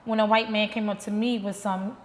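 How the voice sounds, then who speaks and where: A woman's voice: mid-range voice, level tone, a little fast. A woman, a guest speaking candidly and plainly in an interview at a restaurant lounge. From Baltimore. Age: 30s. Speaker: guest, life insurance agent. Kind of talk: casual interview. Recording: reverberant room.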